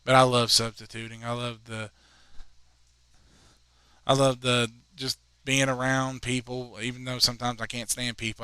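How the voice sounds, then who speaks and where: A man in his twenties calling a high school soccer game, chatting in rambling asides between plays. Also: bright, forceful tone, clean tone, no echo. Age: 20s. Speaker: play-by-play commentator.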